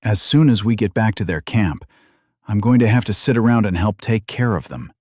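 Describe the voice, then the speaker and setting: A man's voice: lowish voice, expressive, moderate pace, darkish tone, dry recording, clean studio sound. A man voicing a weary young male healer who answers defensively and tiredly in an audiobook. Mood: frustrated.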